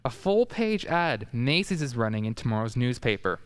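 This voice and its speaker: A woman playing an excitable, fretful male department store manager in a radio play, exclamatory and wheedling. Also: extremely low pitch, normal speed, smooth. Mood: persuading, fretful.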